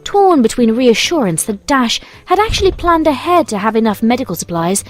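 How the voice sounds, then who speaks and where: A woman's voice: fairly high pitch, sing-song, fairly quick. A woman narrator reading a scripted story in storybook narration style. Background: soft music.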